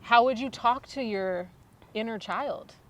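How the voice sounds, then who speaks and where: A woman's voice: fairly high pitch, a little slow. A woman interviewing a student, asking open questions and affirming and rephrasing her answers. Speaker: interviewer, coach.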